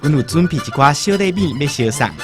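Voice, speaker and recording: A man's voice: fairly high pitch, animated, a little fast, music in the background. A man with a Taiwanese accent, a voice actor, giving a scripted announcement to radio listeners.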